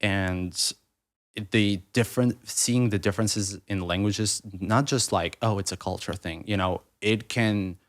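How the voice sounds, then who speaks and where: A man in his thirties, originally from Ukraine with Russian as his first language, talking reflectively as a podcast guest, rambling with restarts and fillers. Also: sits low. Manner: rambling, restarts mid-thought, many fillers. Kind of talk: reflective conversational interview.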